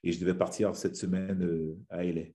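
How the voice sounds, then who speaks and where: Brief: man, 30s, deep and very dark voice, rambling casual guest on a webcam call from home. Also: little pitch movement, fairly quick. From French Guiana. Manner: rambling with many restarts and fillers. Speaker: podcast guest, fitness influencer. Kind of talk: casual video-call interview. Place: small room at home. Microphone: webcam on a video call.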